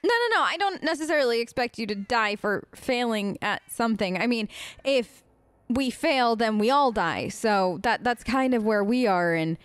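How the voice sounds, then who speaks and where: Girl gamer doing chatty in-character replies, high pitched, rambly and informal, very dry sound. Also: expressive, normal speed, clean tone, no echo. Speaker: let's play host role-playing her game character. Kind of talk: casual improvised in-character chat.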